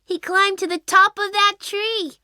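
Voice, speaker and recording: A man voicing an excitable, affectionate little boy in a storybook, squeaky, drawn-out and childlike. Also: dry recording, studio quality, exaggerated voice. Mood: amazed.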